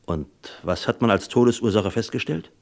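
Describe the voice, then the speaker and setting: A shrewd detective played by a male actor in a German radio crime play, with a low voice. He takes his time and probes with a sly, casual air. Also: normal speed, natural voice. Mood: businesslike. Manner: probing, slyly casual questioning. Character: shrewd, unhurried male American detective questioning suspects.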